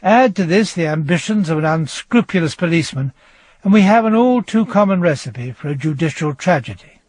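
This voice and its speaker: A man narrating a true-crime radio drama in a grave, measured, literary style. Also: fairly high pitch, expressive, a little mellow, dry recording. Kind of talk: scripted dramatic documentary narration.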